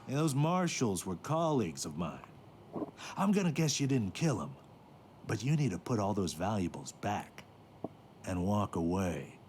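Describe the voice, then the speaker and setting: A man's voice: on the low side, sing-song, a little slow, darkish tone, a little hiss or hum. An actor voicing a firm, commanding lawman in a video game. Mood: stern. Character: stern male lawman confronting looters.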